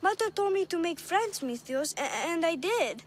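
A woman playing a naive, lonely little orphan girl in a video game, with an earnest, halting, childlike way of speaking. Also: very high voice, lively intonation, a little hiss or hum. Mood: sad, earnest. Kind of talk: video game cutscene dialogue.

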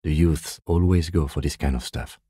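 A very deep-voiced, composed police detective for a video game. He speaks in a measured, deadpan way, with a mellow, husky tone and a dry, close sound. He comes across as dutiful and restrained. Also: dry recording, heavily acted. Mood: calm, dry. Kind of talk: video game dialogue acting.

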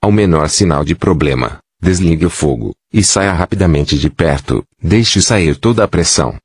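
A man giving kitchen safety tips in a steady, instructive scripted voice-over. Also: deep voice, normal speed, breathy edge, dry recording.

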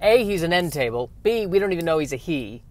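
A man's voice: on the high side, expressive, fast, a little mellow, dry recording, close to an everyday voice. Man acting a flustered, stammering, exasperated everyman. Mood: incredulous. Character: flustered, exasperated straight-man moderator, anxious and sarcastic. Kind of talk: comedic sci-fi radio play acting. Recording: noisy recording.

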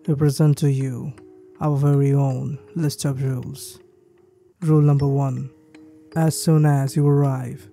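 A man reading a creepypasta list of ski resort rules in a steady, measured scripted narration. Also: on the high side. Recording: faint background music.

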